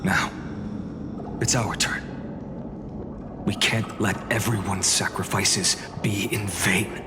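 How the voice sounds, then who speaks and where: An actor playing a resolute young hero in a game, earnest, solemn and deliberate. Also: male voice, fairly low pitch, fairly even intonation, very noisy. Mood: grave resolve. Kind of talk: dramatic video game acting.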